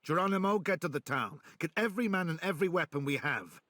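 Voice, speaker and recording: A man's voice: a little high, animated, quick pace, fairly bright tone, no room sound, clean studio sound. An actor playing a curt, commanding male resistance leader in a game cutscene, sarcastic under pressure.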